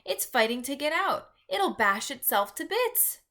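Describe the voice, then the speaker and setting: Woman acting out curious little storybook girl, raised bright voice, direct, questioning, insistent. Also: high-pitched, big pitch swings, unhurried, pitched above the performer's own voice, heavily acted. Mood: excited, frightened. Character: curious, outspoken eight-year-old girl, insistent. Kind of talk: read-aloud children's storybook.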